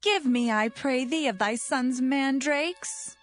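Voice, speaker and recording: Woman acting a fervent, slow-spoken biblical wife. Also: high voice, faint music behind. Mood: pleading. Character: Rachel, yearning, determined biblical wife longing for children. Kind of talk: dramatised audio Bible acting.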